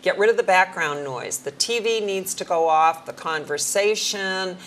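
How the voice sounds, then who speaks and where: A middle-aged woman with a fairly low voice talks through practical hearing tips in a friendly, down-to-earth way. She sometimes slows right down to show how to speak clearly. Faint noise sits under the recording. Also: fairly bright tone. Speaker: hearing care professional, guest. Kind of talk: conversational advice on a talk show.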